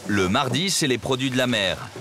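A man reading scripted documentary narration in a measured storytelling way. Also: mid-range voice, very animated, noisy background.

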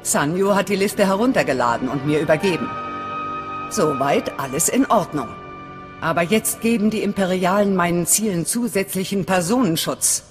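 Eine Schauspielerin als strenge, zurückhaltende Anführerin einer Widerstandszelle in einem Videospiel, befehlend und sachlich. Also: female voice, lowish voice, animated, moderate pace, close to an everyday voice. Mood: suspicious. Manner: commanding, matter-of-fact. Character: stern, guarded female resistance cell leader. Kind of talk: dramatic video game dialogue in German. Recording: long hall reverb. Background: background music.

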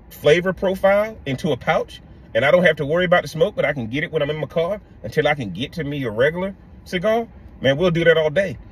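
A middle-aged man hosting a casual opinion vlog from inside a car, talking to the camera in an animated way and calling his viewers "guys". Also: a little high, slightly rough. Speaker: podcast host. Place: parked car interior.

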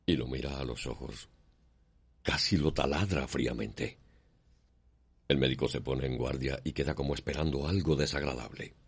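Extremely deep Cuban male narrator. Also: moderate pace, pauses often, breathy edge. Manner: solemn, literary. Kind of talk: dramatic scripted narration. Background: music.

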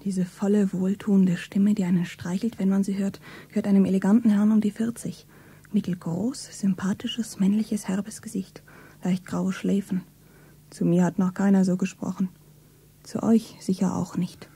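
An actress playing a gossipy, observant young shopgirl in a radio play, with a breathy voice. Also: medium pitch, little pitch movement, moderate pace, dark tone. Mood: awed, confiding.

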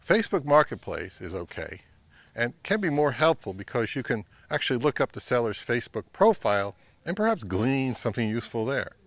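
A middle-aged man hosts an instructional vlog outdoors, speaking to a camera a short distance away. His delivery is measured and explanatory, with a mid-range voice that moves up and down in an animated way. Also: medium pitch, no room sound. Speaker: vintage audio channel host. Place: outdoors by a pond and on a wooded trail.

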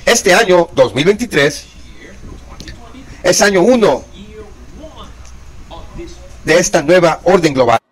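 A man's voice: high-pitched, lively intonation, slow pace, long pauses, low-quality recording. A man in his thirties preaching and commenting on news, emphatic, repeating key words and asking rhetorical questions, recorded indoors facing a camera. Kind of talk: religious commentary reading news. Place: indoor room with pale wall. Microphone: fixed camera, mid shot.

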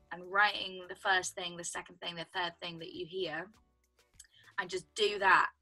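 A young British woman with a husky voice, a songwriter guest chatting casually over a video call from home. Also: medium pitch, pushed and bright, low-quality recording. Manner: chatty, explains with lots of "like" and "do you know what I mean". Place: home room indoors. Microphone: video call webcam.

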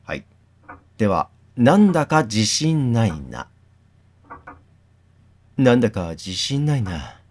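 A man's voice: lowish voice, sing-song, slow, long pauses, darkish tone. A man hosting a game playthrough, reading text aloud and commenting on it.

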